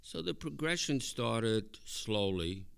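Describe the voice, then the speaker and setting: An older man giving a personal testimony to a group, speaking in halting phrases with dry asides. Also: mid-range voice, expressive, vocal fry, smooth. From Hungary. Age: older, born 1944. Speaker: speaker at a recovery meeting, lawyer.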